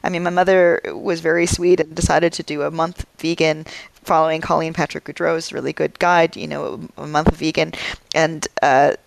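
Gravelly-voiced woman explaining research. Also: low voice, level tone, moderate pace, poor audio. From United States. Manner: articulate, explanatory, thoughtful. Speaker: guest. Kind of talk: podcast interview.

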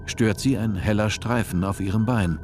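A man narrating a radio play in a measured, literary and composed manner. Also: on the low side, background noise, lightly acted.

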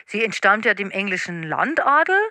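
A woman's voice: medium pitch, big pitch swings, moderate pace, pushed and bright, slight background noise. A woman giving spontaneous expert commentary in a documentary.

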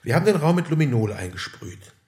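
An actor playing a matter-of-fact, probing police detective in naturalistic audio drama dialogue. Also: male voice, medium pitch, big pitch swings, fast talker, darkish tone, slightly rough.

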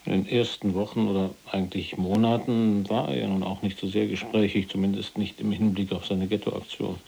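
A reserved, educated resistance fighter held in a prison cell, from a radio play. His voice is fairly low and husky. He chooses his words formally and precisely, with calm composure. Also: brisk, low-quality recording. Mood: calm, measured. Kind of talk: German radio drama dialogue.

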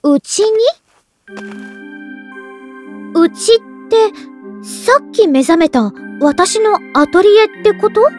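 A very high, girlish female voice plays an ageless elf artist full of self-admiration. She narrates in the first person with theatrical, self-assured flourishes and lively swings of pitch. Music plays behind this anime-style drama monologue. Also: takes it slowly, full character voice. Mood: cheerful.

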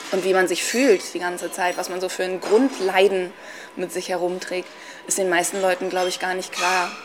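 Hesitant young woman, faint music behind. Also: on the low side. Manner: thoughtful, searching for words with many hesitations. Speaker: interviewee. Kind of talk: reflective spoken statement.

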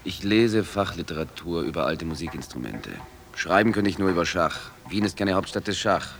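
An actor playing a wary, dry-witted chess lover who stays guarded and understated in a radio drama. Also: male voice, low voice, expressive, normal speed. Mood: dryly formal. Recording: prominent music behind the voice.

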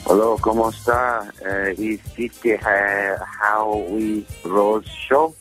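Husky, low-voiced man, playful caller who peppers his talk with Spanish, faint music underneath. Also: steady tone, slow, a bit bright. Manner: playful, mixes in Spanish words. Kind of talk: joking call-in chat.

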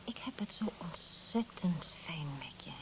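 An actress playing a loving, practical wife in a Dutch radio play, affectionate and emotional. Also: female voice, fairly low pitch, animated, measured pace, in a whisper. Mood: tender, intimate. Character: loving, practical wife, a hospital worker expecting a baby. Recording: noisy, tinny old radio recording.

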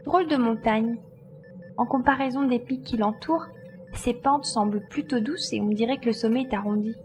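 A woman's voice: on the high side, normal speed, a little mellow, faint music behind, lightly acted. A woman playing a determined, commanding glider pilot in a French audio drama. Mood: amazed. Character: determined female glider pilot searching for her babies.

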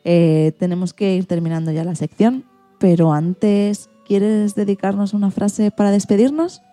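A woman's voice: medium pitch, fairly even intonation, measured pace, warm tone, dry recording, soft background music. A woman hosting a radio show, presenting and interviewing in a scripted style.

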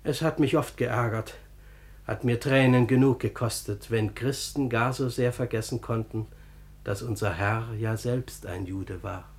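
An actor playing a simple, kindly, pious lay brother in a German classical radio drama, speaking gently and earnestly. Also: male voice, medium pitch, measured pace, close to an everyday voice. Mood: earnest, warm.

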